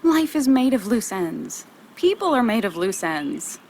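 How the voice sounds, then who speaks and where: A woman's voice: on the high side, sing-song, unhurried, darkish tone, slight background noise. An actress playing a wry, teasing woman in a video game, with playful, dry banter. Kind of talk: naturalistic video game acting.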